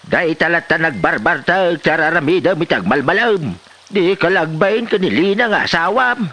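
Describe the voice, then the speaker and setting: A man's voice: a little high, lively intonation, moderate pace, pushed and bright, full character voice. A man playing a disapproving village elder who scolds and lectures in a comedy drama. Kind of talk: Ilocano comedy drama acting.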